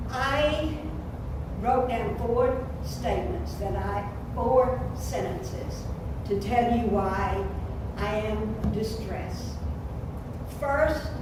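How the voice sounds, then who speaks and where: An older woman reads out her objections in a firm, deliberate way, speaking slowly. Her voice is somewhat high and sits far from the mic. The echoey church hall carries a steady background noise. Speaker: parishioner asking questions. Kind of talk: prepared statement at a meeting. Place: church meeting room.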